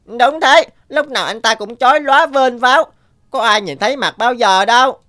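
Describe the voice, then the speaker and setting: A woman voicing an indignant, aggrieved bird in an animated fairy tale. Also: on the high side, moderate pace, close to an everyday voice.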